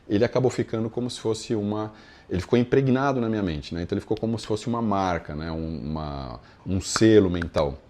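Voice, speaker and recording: Low-voiced Brazilian man teaching informally. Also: a little mellow. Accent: Brazilian Portuguese. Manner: conversational, didactic, frequent check-in tags. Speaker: yoga philosophy teacher. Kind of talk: informal explanatory lesson with everyday examples.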